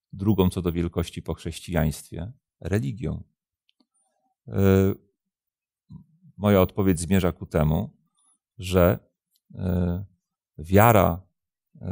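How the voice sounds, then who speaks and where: A man in his fifties, a pastor hosting a programme, answering religious questions in a measured, deliberate way with hesitant pauses. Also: low voice, gravelly, a little mellow, no echo, studio quality. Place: indoor studio, seated at a desk.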